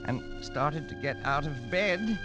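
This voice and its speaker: An actor playing a high-strung, haunted English adventurer, narrating and acting dramatically with brooding pauses in old-time radio style. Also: male voice, lively intonation, over loud music. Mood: haunted, uneasy.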